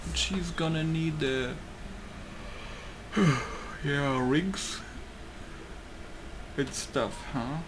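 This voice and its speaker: A man's voice: a little high, extremely slow, long pauses, noisy background. A man giving casual let's play commentary, thinking aloud in a musing way. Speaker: gaming YouTuber.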